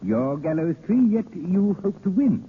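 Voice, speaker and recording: A man's voice: sits high, sing-song, measured pace. An actor playing a wry, imperious king in a 1930s radio drama, speaking with dry irony. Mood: sardonic. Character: wry, imperious king, coolly toying with lives. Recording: noisy, tinny 1930s radio broadcast.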